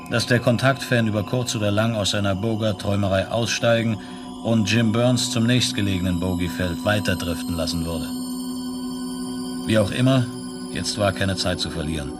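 A man's voice: lowish voice, close to an everyday voice. A man acting as the detached storyteller of a science fiction radio play, matter-of-fact and sober in his delivery. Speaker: narrator. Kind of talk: scripted radio play narration. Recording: 1970s radio play with music behind.

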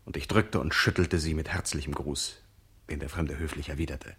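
A man playing an unflappable adventurer who narrates absurd exploits in a measured deadpan, in a scripted experimental radio play. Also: a bass voice, slightly rough, exaggerated voice. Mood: detached, narrating. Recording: music behind the voice.

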